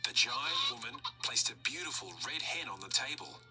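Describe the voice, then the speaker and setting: Animated woman reading a children's story. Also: extremely low pitch, normal speed, over loud music. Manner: animated, expressive narration.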